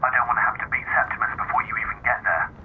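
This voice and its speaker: An actor playing a brisk, cocky soldier comrade who gives matey orders in a video game parody drama. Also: male voice, medium pitch, narrow, tinny audio, slight background noise, close to an everyday voice. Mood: cocky, urging.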